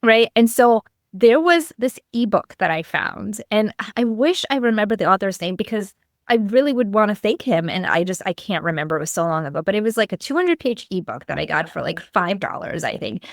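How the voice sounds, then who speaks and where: A woman's voice: medium pitch, lively intonation, a little fast, no echo, studio quality. A middle-aged woman from Lithuania telling a personal story conversationally as an interview guest, acting out bits of dialogue as she goes. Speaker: podcast guest, clinical nutritionist.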